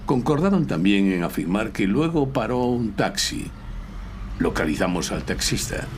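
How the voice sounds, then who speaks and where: Man as a deep-voiced noir lawyer narrator. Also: natural voice. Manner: deliberate, wry storytelling. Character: male lawyer narrating his own case, noir-style, wry. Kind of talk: scripted first-person audio drama narration. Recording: noisy live stage recording before an audience.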